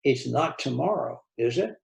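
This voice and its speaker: A man voicing a caring, worried woman who gently reassures a close friend in a read-aloud story. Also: mid-range voice, lively intonation, normal speed, creaky. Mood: fond, teasing.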